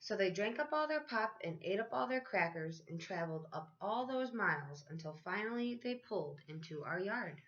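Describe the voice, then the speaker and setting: A woman teacher reading a children's picture book aloud in a measured, expressive storytelling way, stretching out key phrases. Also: mid-range voice, dull audio, slight background noise.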